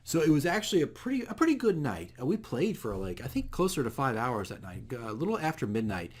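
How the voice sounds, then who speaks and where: A man's voice: medium pitch, sing-song, fairly quick. A man hosting a casual, unscripted video diary as a dungeon master, explaining things in a rambling, self-correcting way. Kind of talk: casual unscripted game session recap.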